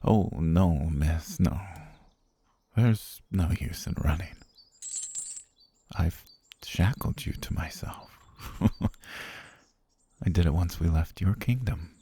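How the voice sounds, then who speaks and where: A man voicing an obsessive, soft-spoken butler who has kidnapped his lady, talking in a hushed, half-whispered, gently menacing way for an ASMR roleplay. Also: sits low, slow pace, long pauses, slightly rough, exaggerated voice. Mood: soothing possessive. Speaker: voice actor.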